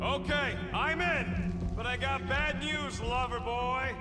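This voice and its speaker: A man voicing a cynical, irritable little raccoon-like creature in a video game, talking in gruff, slangy wisecracks. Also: very high-pitched, takes it slowly, loud music behind the voice. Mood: sly. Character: cynical, irritable small talking raccoon-like rodent tech expert.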